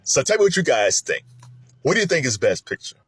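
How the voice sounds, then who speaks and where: A man talks through his film awards picks in a loose, animated way, his voice jumping up when he's excited and sinking to a near mutter when he's thinking it over. His tone is slightly husky, and he laughs often. The recording is dry and close, with no room sound. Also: fairly quick, no room sound. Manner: animated, chatty, laughs often, swings between excited highs and low muttering. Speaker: film commentator. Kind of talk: casual spoken commentary.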